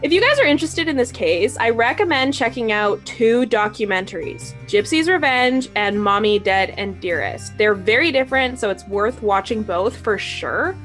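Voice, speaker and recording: A woman's voice: on the high side, animated, normal speed, few pauses, a bit bright, faint music behind. A woman hosting and narrating a comedic podcast reading, with wry explanatory asides.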